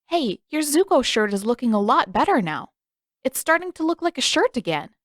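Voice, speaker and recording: A woman's voice: high voice, very animated, moderate pace, no echo, clean studio sound, pitched above the performer's own voice. A woman voicing a cheerful, easygoing young boy in a storybook, light and playful.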